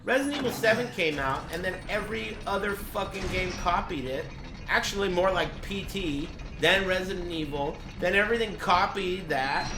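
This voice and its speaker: A man's voice: high-pitched, noisy background. A man who streams games, chatting casually and rambling through blunt, crude, joking impressions with plenty of swearing. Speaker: game streamer. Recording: roomy echo.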